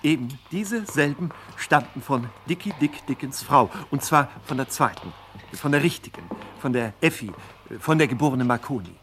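A man's voice: mid-range voice, animated, normal speed, fairly bright tone, slight background noise, natural voice. A man narrating a comic radio crime serial with pedantic, theatrical mock drama.

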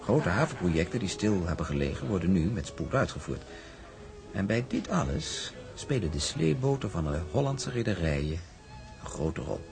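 A man's voice: sits low, sing-song, normal speed, vocal fry, heavily acted. An actor playing a scheming, indulgent businessman in a radio drama, cajoling and condescending. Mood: patronising explaining. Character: scheming, indulgent older businessman doting on his sweetheart. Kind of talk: Dutch radio drama acting. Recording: music behind the voice.

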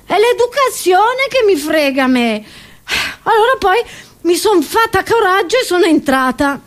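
A woman acts out a chatty old grandmother with a high voice. She is half-deaf and indignant, stammering and repeating herself as she grumbles through a comic monologue. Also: big pitch swings, moderate pace. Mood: exasperated. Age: older. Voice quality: high-pitched old-lady voice.

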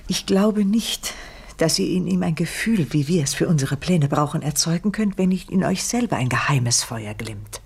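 A haughty, scheming lady of rank in a period radio drama. Her voice is low and creaky, husky, and her formal delivery is coolly ironic and imperious. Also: lively intonation, darkish tone, exaggerated voice. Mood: probing, confidential. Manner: formal, measured, imperious irony. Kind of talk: 1970s German radio play acting.

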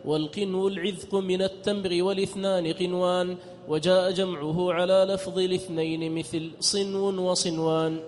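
Male student reader, high-pitched, formal semi-chanted recitation, long reverb, some background noise. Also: little pitch movement, normal speed, a little mellow. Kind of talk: reading a classical text aloud, partly chanted. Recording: echoey hall, background noise.

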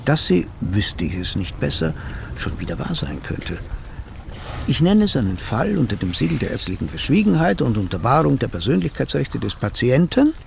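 A man's voice: mid-range voice, wildly swooping pitch, moderate pace, vocal fry, darkish tone, slight background noise. An actor playing a self-assured, pompous male psychotherapist in a German radio play, speaking in elaborate, theatrical phrases. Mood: solemn narration. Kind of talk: German radio play, dialogue and first-person narration.

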